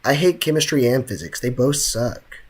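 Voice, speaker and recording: Casting: young male game streamer, medium pitch, casual chat, joking and full of slang. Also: expressive, moderate pace, husky. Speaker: livestreamer.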